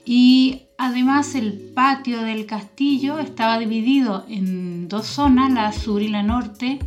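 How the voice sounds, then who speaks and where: A woman's voice: a little high, slow pace, a little mellow, faint music behind. A woman from Chile chatting as a podcast guest, explaining things in an informal way. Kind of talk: casual chat, recounting a visit. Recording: slightly dull, band-limited sound.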